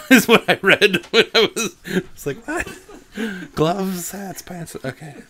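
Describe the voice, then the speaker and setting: A man's voice: sits high, takes it slowly, crowd noise. A man who is a voice actor, chatting casually and giggling between takes.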